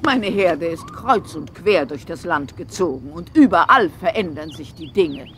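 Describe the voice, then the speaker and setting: A man's voice: a little high, lively intonation, moderate pace, noisy background, natural voice. A man voicing a grave, weary old female dinosaur who leads a herd, in a children's cartoon audio drama.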